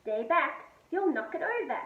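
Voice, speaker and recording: A woman's voice: high voice, very animated, moderate pace, pitched above the performer's own voice. A woman voicing a bossy, irritable young boy in a read-aloud storybook, in a roomy recording. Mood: cross, bossy. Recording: roomy, distant, dull and noisy.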